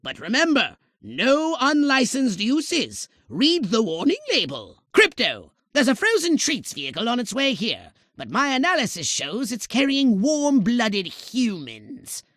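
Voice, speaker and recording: A very high, bright-voiced alien commander, scheming and imperious. His pitch swings wildly as he hands out pompous, sarcastic orders in theatrical video game style, recorded very dry. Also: huge pitch swings, unhurried, few pauses, no room sound, full character voice. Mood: salesmanlike, smug, then scheming, instructing. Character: shrill, bossy, scheming alien commander giving mission orders.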